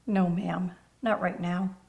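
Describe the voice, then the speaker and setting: A woman's voice: on the low side, fairly even intonation, slow pace, vocal fry, a little mellow, dull audio. A woman voicing a shy, polite, self-doubting young girl in a children's storybook, hesitant and deferential. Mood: guilty, downcast. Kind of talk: children's storybook read-aloud.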